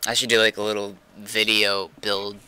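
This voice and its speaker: A man's voice: lowish voice, level tone, moderate pace, background noise. A man talking casually while troubleshooting a computer on a livestream.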